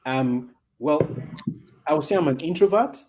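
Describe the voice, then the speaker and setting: A man guesting on a casual talk show, relaxed and using "you know" a lot. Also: a little high, a little slow, slight background noise. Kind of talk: casual chat.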